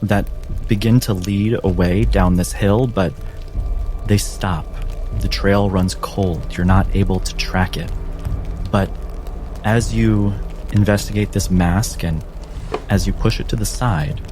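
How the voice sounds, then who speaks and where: Slow, deep-voiced man narrating as game master. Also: steady tone. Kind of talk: slow atmospheric scene narration for a tabletop game. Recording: music behind the voice.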